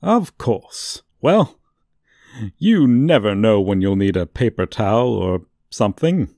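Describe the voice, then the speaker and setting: Casting: male actor as sly villain tour guide, comedic audio roleplay; creaky voice, swooping pitch, sardonic showman delivery. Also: sing-song, a little mellow, no room sound, heavily acted. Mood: chatty, insincere. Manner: showy, drawn-out emphasis, dry asides. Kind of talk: comedic ASMR roleplay monologue.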